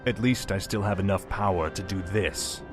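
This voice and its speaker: An actor playing a grim undead death knight in a video game, curt, cold and commanding. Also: male voice, low-pitched, normal speed, natural voice. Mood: cold resolve. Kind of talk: video game cutscene acting. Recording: game audio under loud music.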